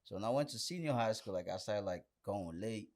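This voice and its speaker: A man telling stories in a casual, animated way with lots of friendly slang, as a podcast guest. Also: fairly low pitch, brisk. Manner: animated, slangy, punctuates with "bruh". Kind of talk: casual storytelling chat, slangy.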